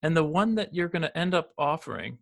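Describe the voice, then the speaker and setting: Gravelly-voiced American man, rambling. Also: on the high side, animated, a little fast. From United States. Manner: rambling, frequent restarts and fillers. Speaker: podcast guest, financial advisor. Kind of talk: casual interview conversation.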